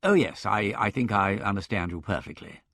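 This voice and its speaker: A man voicing a wry, understated aristocrat, speaking lower and more quietly. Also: moderate pace, dry recording. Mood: dry. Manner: dry, cautious, understated. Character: urbane, wry English aristocrat sleuth.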